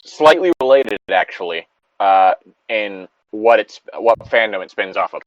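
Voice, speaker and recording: A man's voice: on the low side, animated, measured pace, dry recording, lo-fi sound. A man co-hosting a story reading show, switching between reading aloud and casual, sardonic, rambling commentary with some swearing.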